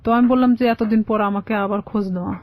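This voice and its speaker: A woman's voice: mid-range voice, steady tone, rapid-fire, dull audio. A young woman with a Bangladeshi Bengali accent telling a personal life story as a guest in a radio interview. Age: young adult.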